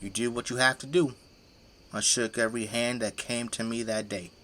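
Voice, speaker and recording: A man's voice: mid-range voice, fairly even intonation, moderate pace, fairly bright tone, slight background noise, lightly acted. An actor playing a folksy, boastful, tipsy middle-aged father who fights zombies with a flamethrower in an audio drama. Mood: candid, reminiscing. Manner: folksy, colloquial, easygoing bragging.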